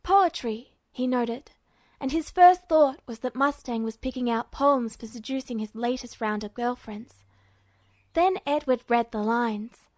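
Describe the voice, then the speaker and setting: Fiction narrator: woman, fairly high pitch, unhurried scripted read, close dry sound. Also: a little mellow, no echo.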